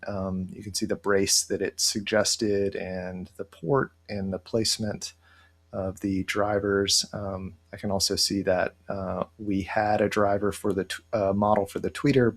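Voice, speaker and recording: A middle-aged man presenting a casual software walkthrough, thinking aloud in a halting way. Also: lowish voice, flat delivery. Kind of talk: casual screen-share walkthrough. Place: indoor room, webcam screen recording.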